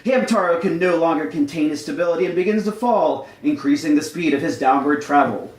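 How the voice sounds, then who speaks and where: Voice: mid-range voice, a little mellow. A comedy storyteller reading a scripted tale live in an amused, mock-formal storybook manner. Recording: echoey live room.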